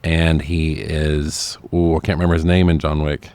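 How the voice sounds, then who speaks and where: Man, low voice, podcast co-host, casual, enthusiastic, sweary, dry close recording. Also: flat delivery, moderate pace, a little mellow, dry recording. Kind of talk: casual movie chat.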